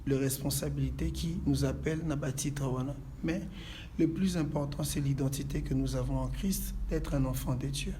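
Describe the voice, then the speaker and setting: A man from Congo who leads a church, answering calmly and praying in a measured, preacherly way. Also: medium pitch, a little hiss or hum. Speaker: pastor. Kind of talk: calm interview answers and prayer. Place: inside a church.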